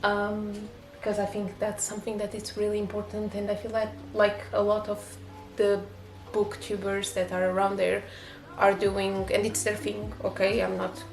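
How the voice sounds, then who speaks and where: A woman's voice: mid-range voice, monotone, moderate pace, few pauses, darkish tone, reverberant, soft background music, rough recording. A woman from Portugal with a Portuguese accent, English not her first language, chatting casually in a home vlog with many fillers and restarts. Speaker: booktuber.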